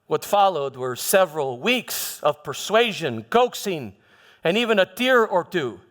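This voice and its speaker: A man playing a troubled Roman governor who recounts his past in a halting dramatic monologue, repeating words and pausing for emphasis. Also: high-pitched, very animated, fairly bright tone, heavily acted. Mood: grave, reflective. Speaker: church dramatist performing a monologue. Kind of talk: first-person dramatic monologue in church. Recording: echoey hall with long reverb.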